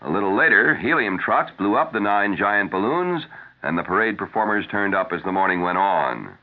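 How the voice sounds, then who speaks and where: An American man reading scripted news as a radio anchor on a 1970s broadcast. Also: fairly low pitch. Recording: thin narrow-band 1970s radio broadcast.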